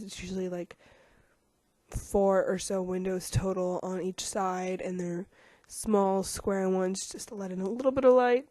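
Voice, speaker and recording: A young woman with a rough voice from getting over a cold, chatting casually over a game video with lots of ums and self-deprecating asides. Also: mid-range voice, warm tone, dry recording, clean studio sound. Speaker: YouTuber narrating a game speed build.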